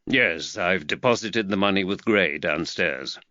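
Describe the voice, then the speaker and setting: A man voicing a polite, measured detective with a dry wit, close to his own voice. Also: low voice, sharp tone, no room sound, lo-fi sound. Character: calm, dry-witted young male detective.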